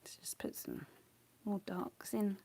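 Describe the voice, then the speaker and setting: A woman talks through a live watercolour session with a slightly worn voice, as if she is losing it. She thinks aloud, rambles and drops into quiet mutters under her breath between explanations. Her pitch sits right in the middle. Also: slow, vocal fry, breathy edge, in a whisper. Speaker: watercolour artist teaching. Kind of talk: casual live painting talk.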